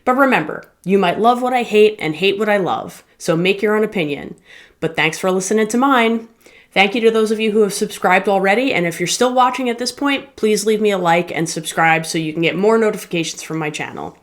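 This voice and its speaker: A woman hosting a channel, giving a candid, conversational review of an audiobook. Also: mid-range voice. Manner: candid, conversational, measured opinions. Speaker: book reviewer. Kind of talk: casual spoken book review.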